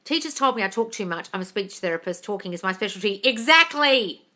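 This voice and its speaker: Woman from England, livestream host, bright voice, blunt and sweary, reads out chat and answers, calls everyone darling. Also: medium pitch, lively intonation, fairly quick. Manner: reads chat comments aloud, then answers them. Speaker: manifesting coach answering live chat questions. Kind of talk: casual livestream Q&A. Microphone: phone livestream.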